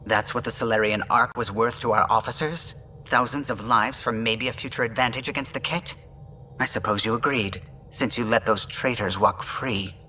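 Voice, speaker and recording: Man as a sharp-voiced, disgruntled starship pilot. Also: mid-range voice, moderate pace, a little hiss or hum, natural voice, rough recording. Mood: bitter. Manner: clipped, bitter, blunt. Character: brusque, disgruntled male alien starship pilot. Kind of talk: video game dialogue acting.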